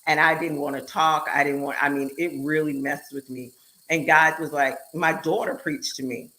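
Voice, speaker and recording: A woman hosting a live online show, chatting in an animated, emphatic way and speeding up in bursts. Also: deep voice, moderate pace, poor audio. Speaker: show host and speaker.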